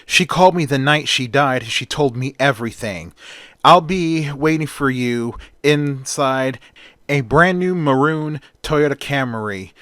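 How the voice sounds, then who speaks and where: A man voicing a composed man on a staged phone call in a story, measured and matter-of-fact. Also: medium pitch, fairly bright tone, dry recording, studio quality, lightly acted. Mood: composed, secretive.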